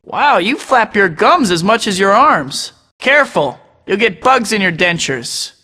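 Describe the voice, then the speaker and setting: A man's voice: sits high, big pitch swings, measured pace, sharp tone, big-room sound, exaggerated voice. An actor voicing a young male superhero who tosses out sarcastic taunts in a video game. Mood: mocking. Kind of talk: game voice clips.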